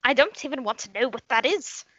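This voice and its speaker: A foul-mouthed leprechaun villain, acted by a woman in a comedy skit. The voice is high and bright with a swooping, animated range, mocking and menacing in turn. It sounds dry and slightly dull in the recording. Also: brisk, dry recording, heavily acted. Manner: taunting, exasperated.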